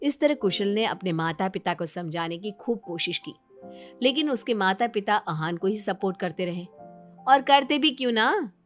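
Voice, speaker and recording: A woman's voice: a little high, a bit bright. A woman storyteller reading a scripted moral family story as narrator. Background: background music.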